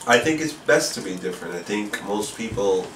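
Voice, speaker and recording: A middle-aged man from Puerto Rico, raised in New York City, talking casually and a little haltingly as a fashion designer being interviewed. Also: fairly low pitch, expressive, fairly bright tone, distant mic, slight background noise. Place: designer's indoor studio with sewing machines. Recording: echoey room, off-mic, music behind.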